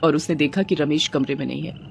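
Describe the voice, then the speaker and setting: A woman's voice: low voice, quick pace, creaky, slight background noise. A woman reading a scripted story as its narrator.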